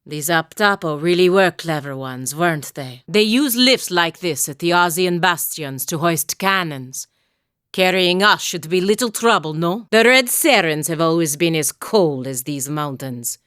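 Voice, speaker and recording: A proud, dutiful female warrior from a fantasy video game. Her voice sits low and stays measured, with formal phrasing and a wry, stern edge. The sound is close and dry, with very little room around it. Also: lively intonation, fairly bright tone, clean tone, no room sound. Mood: grim, wry. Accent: Valian, a lightly Italian-sounding fantasy accent. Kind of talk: scripted game dialogue, voice lines and barks.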